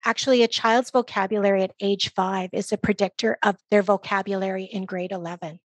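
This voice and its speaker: A woman speaking as a guest expert, giving measured, articulate explanations in an interview with emphasis on key phrases. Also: mid-range voice, steady tone, no echo. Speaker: guest expert, early literacy organization leader.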